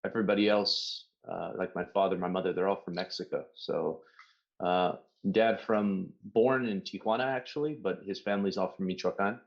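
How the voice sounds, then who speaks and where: A middle-aged man from Southern California, Spanish his first language, talks in a low register through a dull, lo-fi recording. He tells stories loosely, acting out what others said and swearing freely in a casual podcast chat. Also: fairly even intonation, moderate pace, vocal fry. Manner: swears freely, storytelling with mock quotes. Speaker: podcast guest.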